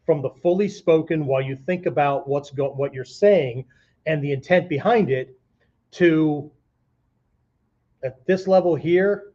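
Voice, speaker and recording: A man's voice: on the high side, darkish tone, lo-fi sound. A man giving an informal martial arts lesson in an emphatic, conversational way, often checking in with the listener. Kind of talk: informal spoken lesson with vocal demonstrations.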